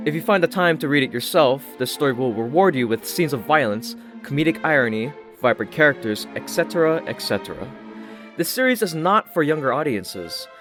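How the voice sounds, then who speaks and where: A man delivering scripted narration in a measured, theatrical storyteller manner, as the narrator of an audio story. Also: mid-range voice. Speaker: audiobook narrator and channel host. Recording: music behind the voice. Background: instrumental music.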